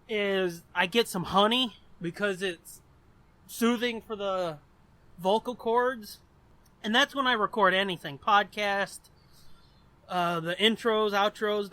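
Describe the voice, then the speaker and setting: High-voiced, crude podcast co-host man. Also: pushed and bright. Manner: crude, swearing, laughing a lot. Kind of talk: casual chat.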